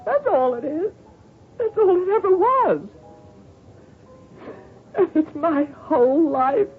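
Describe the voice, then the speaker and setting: A despairing woman champion, worn out and sardonic. Her voice swings dramatically between flat bitterness and anguish. It comes through a narrow, tinny old radio broadcast. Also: extremely high pitch, takes it slowly, faint music behind, heavily acted. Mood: anguished, bitter. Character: bitter, despairing, exhausted female tennis champion.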